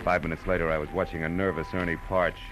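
Casting: man playing hard-boiled gumshoe for vintage radio noir, low register, wry, clipped and slangy, music underneath. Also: little pitch movement, fast, close to an everyday voice. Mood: sardonic narration. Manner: clipped, slangy, tough-guy delivery. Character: hard-boiled male private detective, wry and world-weary. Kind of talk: 1940s-50s radio drama acting and first-person noir narration. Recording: old radio broadcast, music behind.

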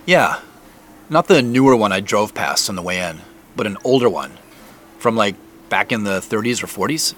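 An awkward, apologetic man in a naturalistic audio drama. His voice is low, with lively swings in intonation, and he speaks haltingly, as if unsure of his words. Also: a little hiss or hum. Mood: curious. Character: awkward, apologetic man investigating a family mystery.

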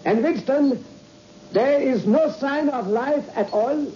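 An actor playing an authoritative space mission controller in an old-time radio drama, with a formal, commanding and declamatory manner. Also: male voice, extremely high pitch, takes it slowly. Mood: intent. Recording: dull, noisy old radio recording.

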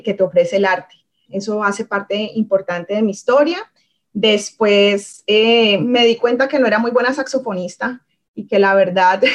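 A woman with a Colombian accent from Cali tells her own story in a medium-pitched voice. She speaks casually as an interview guest, thinking aloud in a reflective way with halting pauses.

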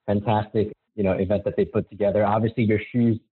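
Husky-voiced man on a tinny line. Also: on the low side, fast. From Philippines. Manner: relaxed and friendly, with frequent restarts. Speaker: marketing executive guest. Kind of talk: conversational interview answers. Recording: tinny phone-line sound.

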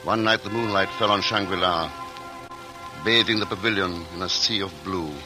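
Low-voiced narrator of an old radio drama. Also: male voice, normal speed, rough recording. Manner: earnest, polished storytelling. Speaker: lead actor and narrator. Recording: old radio broadcast with music.

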